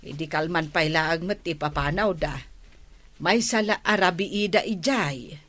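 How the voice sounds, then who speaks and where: A woman playing a slow-talking village gossip. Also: fairly low pitch, fairly bright tone, slight background noise. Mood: chatty. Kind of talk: radio comedy drama acting.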